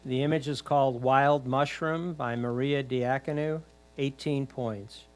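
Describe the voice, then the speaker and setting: A man's voice: mid-range voice, steady tone, unhurried. A man reading out titles and scores at a competition in a formal, matter-of-fact way.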